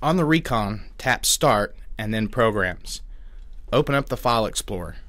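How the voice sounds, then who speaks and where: Calm low-voiced man reading instructions. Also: no echo, clean studio sound. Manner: calm, measured step-by-step instructions. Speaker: product tutorial narrator. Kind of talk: scripted instructional voice-over.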